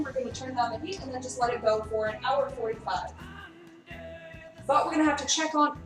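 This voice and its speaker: A young woman cooks live and talks easily with her viewers, answering their messages as she goes. Her voice sits a little high. It sounds roomy and off-mic, with faint music behind her. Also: a bit bright, recorded from a distance. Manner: chatty, reads out and answers chat. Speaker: streamer cooking live. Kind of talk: casual live-stream chat. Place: kitchen in a friend's house.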